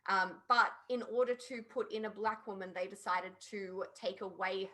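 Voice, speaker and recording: A woman's voice: mid-range voice, steady tone, normal speed, pushed and bright. A woman chatting informally and emphatically as a podcast panelist reviewing a show. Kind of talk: casual opinionated review chat.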